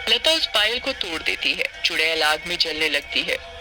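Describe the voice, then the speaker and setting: Male narrator for cartoon tales, high-pitched voice, clear music bed behind. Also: lively intonation, moderate pace. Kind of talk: scripted cartoon story narration.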